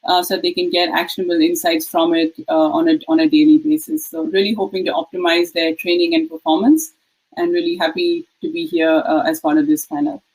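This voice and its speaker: Lowish-voiced woman from India introducing herself. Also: expressive, a little fast, a little mellow, husky, rough recording. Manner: friendly with many fillers. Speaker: panelist, former Olympic swimmer. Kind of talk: panel self-introduction.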